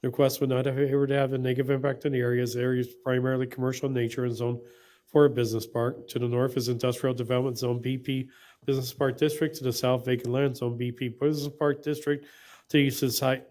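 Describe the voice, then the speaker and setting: A city staff member reading a zoning report in a flat, matter-of-fact way. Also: male voice, mid-range voice, fast talker.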